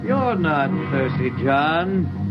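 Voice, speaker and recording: An actor playing a menacing Martian posing as someone's brother, in slow, ominous 1950s radio drama style. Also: male voice, fairly high pitch, sing-song. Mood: eerie calm. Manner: slow, drawn-out, ominous delivery. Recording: dull old radio recording, loud music.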